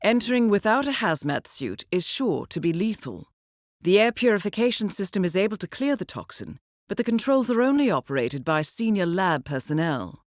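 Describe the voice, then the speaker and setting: An actress playing a cool, composed intelligence handler in a video game, briefing an assassin in a measured, dryly ironic way. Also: female voice, medium pitch, very animated, no room sound, clean studio sound, lightly acted. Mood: crisp briefing. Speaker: mission handler.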